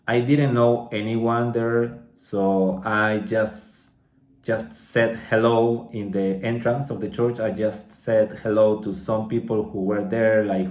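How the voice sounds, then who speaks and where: A man in his thirties with a Peruvian Spanish accent speaks slowly and carefully, rephrasing his words and checking in with "right?". His voice sits a little low. The room has a noticeable echo. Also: darkish tone. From Peru. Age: 30s. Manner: slow and clear, restating phrases with tag questions like okay and right. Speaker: English teacher sharing a testimony. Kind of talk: personal testimony for English learners.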